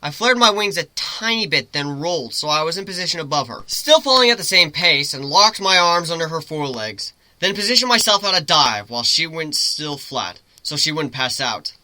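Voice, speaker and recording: Bright, animated male story narrator. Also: a little high, normal speed, hardly any pauses, no echo. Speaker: story reader. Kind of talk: dramatic first-person fiction narration.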